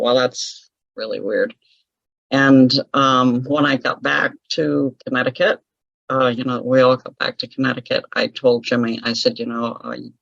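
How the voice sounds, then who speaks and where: A woman being interviewed, chatting through a personal story with frequent laughs and a lively, conversational telling. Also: extremely low pitch, vocal fry, husky, no room sound, dull audio. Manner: chatty, laughs often, lively retelling of her own past. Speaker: interview guest, author.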